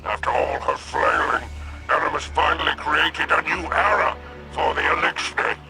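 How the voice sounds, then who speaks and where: A man voicing a sly, smug alien criminal boss in a video game, gravelly and theatrical, drawing out his phrases. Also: on the high side, normal speed, music in the background, poor audio. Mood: wry, sly. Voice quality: gravelly, creaky. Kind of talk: video game character monologue.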